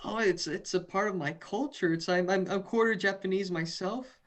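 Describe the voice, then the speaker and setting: A man's voice: sits high, moderate pace. A young man from the western United States, a student guest in a video interview, earnest and often repeating and restarting words. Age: young adult.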